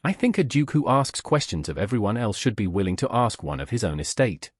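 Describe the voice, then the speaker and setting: A man voicing a principled, self-restrained Regency duke in an audiobook, speaking earnestly and deliberately. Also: lowish voice, sing-song, husky, dry recording, studio quality. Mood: thoughtful.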